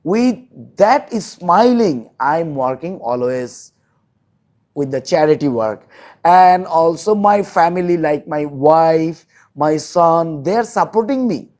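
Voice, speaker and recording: Slow-talking Bangladeshi man, earnest and halting. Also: fairly high pitch, lively intonation, a little mellow. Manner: halting, repeats phrases, earnest. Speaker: interview guest, volunteer social worker. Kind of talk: earnest interview talk in non-native English.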